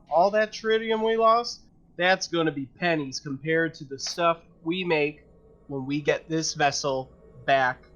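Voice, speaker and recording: A man's voice: a little high, a bit bright. A man playing a tabletop game, speaking in a casual, folksy way. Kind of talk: casual in-character table talk. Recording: slightly dull audio, faint music behind.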